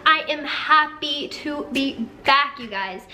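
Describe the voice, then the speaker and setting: A woman's voice: high-pitched, slow, bright, forceful tone, slight background noise. A young woman vlogger, nasal and congested from a head cold, chatting casually to her viewers while telling a story. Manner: chatty, upbeat, talks straight to viewers. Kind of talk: casual get-ready-with-me storytelling.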